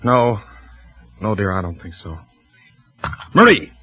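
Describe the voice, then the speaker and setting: An actor playing a suspicious husband who also narrates the tale in a measured, brooding voice, in a 1940s radio drama. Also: male voice, lowish voice, very animated, breathy edge, dry recording, exaggerated voice. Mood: guarded. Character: suspicious husband, grim first-person narrator. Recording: lo-fi old radio broadcast with music.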